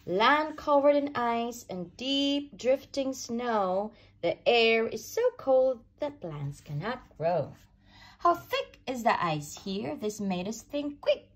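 A woman with a fairly high voice reads a children's picture book aloud. Her pitch swings widely as she shapes each rhyming phrase in an expressive sing-song. She goes very slowly and deliberately, so young listeners can follow. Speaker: storyteller reading aloud.

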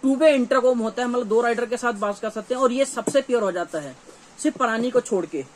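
A man's voice: extremely high pitch, fairly quick. A man in his thirties with a North Indian accent, a dealer giving an informal, repetitive sales showcase to camera in a small shop. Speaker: shop dealer presenting products. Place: small cluttered gear shop, indoors. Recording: faint shop background noise.

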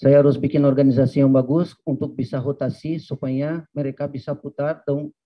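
A man's voice: mid-range voice, little pitch movement, brisk. A man interpreting a coach's answers at a press conference, speaking plainly with casual fillers at a table mic. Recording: dull lo-fi stream audio.

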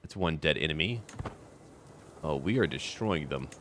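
A man's voice: a bass voice, lively intonation, moderate pace, a bit bright, slight background noise. A man giving casual running commentary on a strategy game, talking to himself and to the game's characters. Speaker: gameplay video host. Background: music.

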